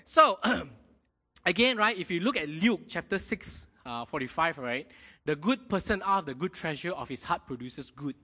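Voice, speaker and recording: A man preaching a sermon in a lively way, often checking in with his congregation. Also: a little high, normal speed. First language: not Mandarin. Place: church hall. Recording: long hall reverb.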